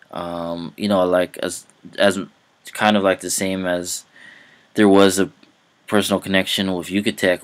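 Young guy, deep low voice, casual halting explainer, lots of ums. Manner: halting, many fillers and restarts. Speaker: language hobbyist vlogger. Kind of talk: casual screen-recorded explainer.

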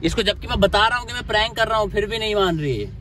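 Young male vlogger, high voice, coaxing and playful, recorded on a dash camera with street noise. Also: expressive, rapid-fire, sharp tone. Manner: pleading and teasing, with bursts of laughter. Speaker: prank vlogger. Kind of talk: casual vlog chat. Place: inside a parked car, outdoors on a street. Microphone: camera mounted on the dashboard. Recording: noisy car interior with street noise.

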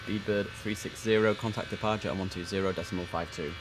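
A young man streaming a tower simulator, giving crisp controller calls between chatty asides. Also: sits low, fairly even intonation, quick pace, over music. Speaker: streamer playing air traffic controller. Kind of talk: gameplay commentary with radio phraseology. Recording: noisy game stream audio.

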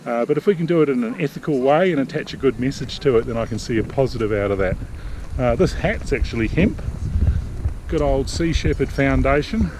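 A middle-aged man talks straight into a camera held at arm's length, in a relaxed, rambling vlog manner. His pitch is ordinary for a man. Faint city hum sits behind him in the open air. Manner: relaxed, chatty, halting with fillers. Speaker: sailing vlog host. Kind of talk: casual vlog talk to camera. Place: outdoors in a paved city square.

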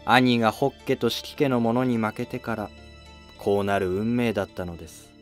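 A man's voice: on the low side, normal speed, soft background music, lightly acted. A man voicing a dignified, resigned mother of a prince, composed and fatalistic.

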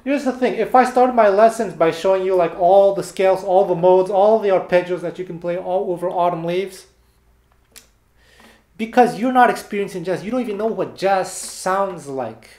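Animated guitar teacher, roomy echo. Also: male voice, high-pitched, normal speed. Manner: animated, explains with hand gestures between playing. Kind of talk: casual video lesson. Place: small bare room indoors, seated with guitar. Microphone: fixed camera at a few meters.

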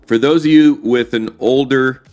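A middle-aged man hosting a tech tutorial, walking through steps in a clear, upbeat, chatty way, recorded in a living room. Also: medium pitch, moderate pace, a little mellow, soft background music. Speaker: tech video host. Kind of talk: step-by-step tutorial narration.

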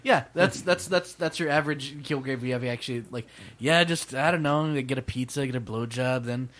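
A man's voice: mid-range voice, a little fast, fairly bright tone. A man hosting a casual podcast chat, rambling with lots of fillers and laughs. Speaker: podcast host.

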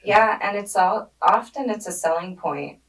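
A young woman born in Germany and raised in Hungary, answering interview questions in a relaxed way with many fillers, on a podcast mic in a studio. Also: mid-range voice, a little slow, distant mic, poor audio. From born in Germany, grew up in Hungary. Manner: relaxed, thoughtful, with frequent fillers. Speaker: guest, street art curator. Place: studio with dark padded walls. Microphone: podcast mic on a desk arm.

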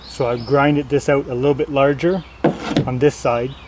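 A man's voice: mid-range voice, fairly even intonation, normal speed, heavy background noise. A man casually talking through a do-it-yourself job outdoors in a plain, loose way, with fillers and self-corrections. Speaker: DIY instructor. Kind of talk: casual how-to explanation. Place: outdoors on a lawn.

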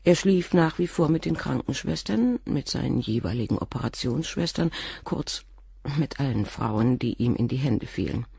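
An actress playing a composed middle-aged doctor's wife and former nurse, speaking frankly and in a measured way in a radio drama. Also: female voice, extremely low pitch, lively intonation, vocal fry, warm tone, slightly rough, no room sound, full character voice. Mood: candid. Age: 40s. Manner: measured, frank, controlled confession. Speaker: witness questioned by the inspector.

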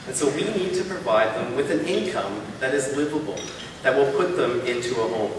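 A man in his thirties delivers a keynote from a podium, steady and persuasive, stacking his points in rhythmic runs. His voice rings out through a big reverberant hall. The recording is taken from well back in the room, with a clear hum of ambient noise underneath. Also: mid-range voice, brisk, distant mic. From Canada. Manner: earnest, persuasive, builds points in rhythmic lists. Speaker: keynote speaker. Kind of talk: public speech. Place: large hall, at a podium on stage. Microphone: podium mic, filmed from far off.

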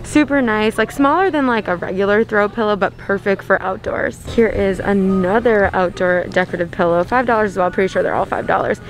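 A woman's voice: medium pitch, brisk, hardly any pauses, a little hiss or hum, low-quality recording. A young woman narrating a casual shop-with-me video in a large store, bubbly and gushing, with many "like" fillers, recorded on a handheld phone. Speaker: shopping vlogger. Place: large retail store, indoors. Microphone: handheld phone camera.

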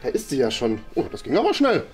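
A man's voice: mid-range voice, huge pitch swings, fast talker, darkish tone, soft background music. A young man playing an eager, flustered family father who runs a small café, hesitant and stammering in an acted family sketch. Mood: eager, polite. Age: young adult. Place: small indoor office room.

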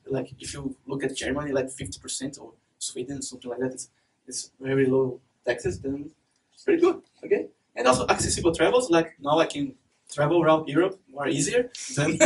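Young Brazilian man, laughing a lot. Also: fairly high pitch. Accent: Brazilian Portuguese. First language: Portuguese. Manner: chatty, laughs often, many fillers. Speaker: conference speaker. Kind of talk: conference talk. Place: dark event hall with stage and projector. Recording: distant mic with faint noise.

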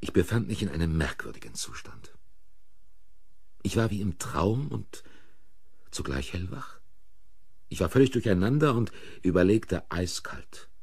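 An actor playing an anxious, bewildered married man in a radio thriller, in with a husky voice. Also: very deep voice, darkish tone, dry recording, full character voice. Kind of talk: radio play acting with first-person narration.

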